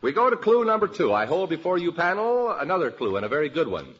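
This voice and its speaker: An American man hosting a panel quiz show, presenting in a deliberate announcer style. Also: a little high, wildly swooping pitch, a bit bright. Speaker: game show host. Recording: dull, lo-fi old radio recording.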